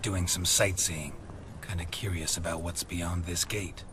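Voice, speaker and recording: An actor playing a stoic, battle-worn monster hunter, terse and dryly witty, in a fantasy video game. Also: male voice, low voice, a little slow, noisy background. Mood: deadpan, wry. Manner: terse, deadpan. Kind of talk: dramatic video game dialogue.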